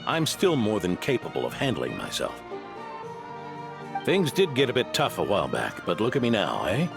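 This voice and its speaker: Spry old knight captain, animated. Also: male voice, fairly low pitch, normal speed, gravelly, heavily acted. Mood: jaunty. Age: older. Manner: jaunty, reassuring. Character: spry aging male knight captain, genial, undaunted grandfatherly. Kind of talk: video game voice acting. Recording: game music underneath.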